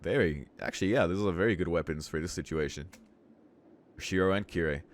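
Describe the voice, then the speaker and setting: Low-voiced man reading a visual novel aloud. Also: a little fast. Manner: informal, musing asides. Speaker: let's play host.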